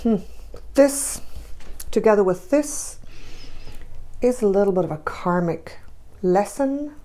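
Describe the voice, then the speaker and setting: Woman, tarot reader, sore throat, emphatic and repetitive, goes soft and hushed when guiding a visualization, mid-range voice. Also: medium pitch, lively intonation, slow pace. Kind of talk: intuitive tarot reading and guided visualization.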